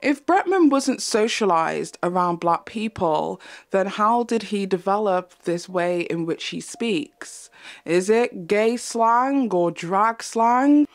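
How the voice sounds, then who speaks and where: A young English woman giving a measured commentary to camera from her bedroom, holding a handheld mic. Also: medium pitch. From England. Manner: measured, explanatory. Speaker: YouTube commentator. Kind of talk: commentary think piece to camera.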